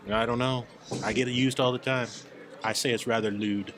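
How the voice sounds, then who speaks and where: Mid-pitched man, halting but emphatic. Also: steady tone, background noise. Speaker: podcast panelist. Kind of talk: casual group chat.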